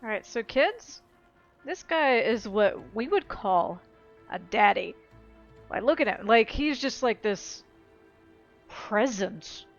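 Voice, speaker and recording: A woman's voice: on the high side, lively intonation, slow, pauses often. Woman game streamer on a dull mic. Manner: playful, informal, slangy asides. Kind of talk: casual gameplay commentary. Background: faint game music.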